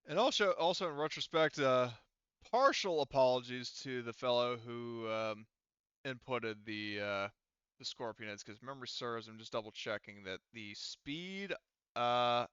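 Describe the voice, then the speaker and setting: A man narrates a tabletop game as game master in a bright, crisp voice. His phrasing is casual and halting, with hesitations as he works things out. The recording is dry and a little lo-fi. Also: medium pitch, dry recording.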